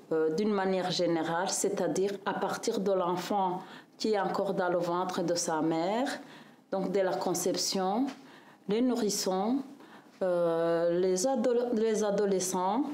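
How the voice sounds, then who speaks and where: A woman from Rwanda in her fifties, a nutritionist describing her work in a measured interview, with pauses and hesitations. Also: medium pitch, darkish tone, echoey room. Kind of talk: interview testimony.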